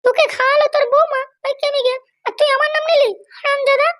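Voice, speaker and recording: A woman's voice: extremely high pitch, little pitch movement, fast, a bit bright. A woman voicing a hot-tempered, foul-mouthed wife who is running for election in a comedy cartoon, with a scolding, threatening manner. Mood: furious, threatening. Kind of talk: amateur comedy cartoon dialogue.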